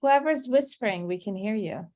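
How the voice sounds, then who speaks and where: A woman from Iran, middle-aged, moderating an online book discussion over a video call, effusive and emphatic and unhurried, with pauses. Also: mid-range voice, animated, a little mellow.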